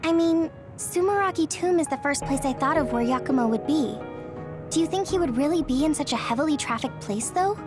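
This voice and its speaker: A woman's voice: high voice, expressive, fairly quick, over music. An actress playing a frank, questioning, girlish squad mate in an anime-style video game. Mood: doubtful.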